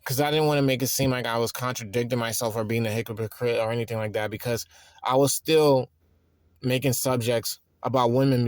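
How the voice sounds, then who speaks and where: A man in his thirties, tired and stressed, talking in a rambling, halting way with frequent restarts in a reflective personal monologue. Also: mid-range voice, clear, resonant tone, no room sound. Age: 30s. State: tired and worn out. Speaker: vlogger.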